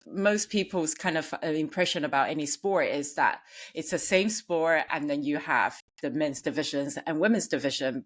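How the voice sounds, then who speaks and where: Deep-voiced woman hosting an interview. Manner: encouraging, curious, rambling questions with fillers. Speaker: podcast host. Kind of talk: casual interview conversation.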